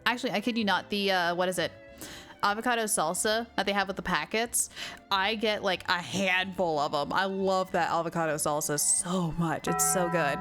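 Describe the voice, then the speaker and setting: A woman hosting a livestream, chatting casually and reacting out loud in an animated way. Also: on the low side, moderate pace. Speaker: livestream host. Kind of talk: casual gaming stream chat. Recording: game music behind the voice. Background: music.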